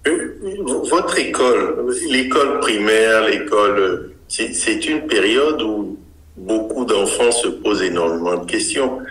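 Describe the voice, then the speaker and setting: Slow deep-voiced male interviewer, roomy. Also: expressive, fairly bright tone, soft background music. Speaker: interviewer host. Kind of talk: interview questions.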